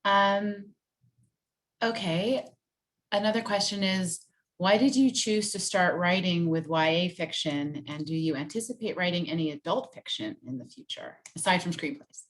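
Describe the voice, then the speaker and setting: A woman's voice: on the low side, normal speed, slight background noise. A woman librarian hosting a virtual author event, politely reading out audience questions in a conversational way. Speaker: librarian host. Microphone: video call.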